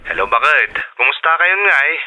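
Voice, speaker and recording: An actor playing a tired, prudent working husband abroad in an Ilocano radio drama, heard through a telephone filter. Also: male voice, on the high side, animated, normal speed. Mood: weary. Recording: thin, narrow telephone-like sound.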